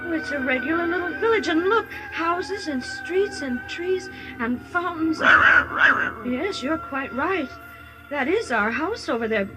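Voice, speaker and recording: An earnest, trusting farm girl in a vintage radio play, her voice very high. She speaks in breathless, wide-eyed exclamations and hesitations, over prominent music in an old broadcast recording. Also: animated, measured pace, rough recording. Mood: awed. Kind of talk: 1950s radio drama acting.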